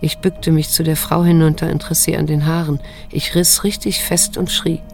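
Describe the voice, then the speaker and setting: An actress playing a wounded, restrained middle-aged daughter who narrates in a radio drama, measured and introspective. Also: female voice, sits low, flat delivery, darkish tone, soft background music. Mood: remorseful.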